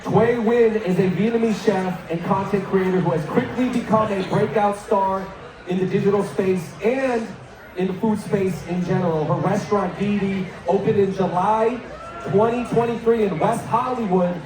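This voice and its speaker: A man's voice: sits high, fairly even intonation, moderate pace, noisy background. A man acting as event emcee, introducing the keynote speaker and building up to applause. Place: event hall with audience. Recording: echoey hall, voice from far off.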